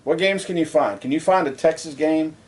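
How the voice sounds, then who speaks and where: A man co-hosting a casual sports talk show, debating his co-host and getting louder and higher when he is indignant. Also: fairly quick.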